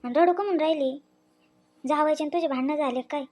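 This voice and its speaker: A woman's voice: high voice, quick pace, darkish tone, lightly acted. A woman with a rural Maharashtrian accent playing a worried, scolding village mother in a family story cartoon. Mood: scolding concern. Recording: faint background noise.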